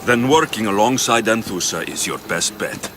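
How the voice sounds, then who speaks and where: A man's voice: fairly low pitch, animated, normal speed, music in the background, close to an everyday voice. A man playing a blunt, confident young mercenary in dramatic video game dialogue. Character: blunt, confident male Spartan-born mercenary.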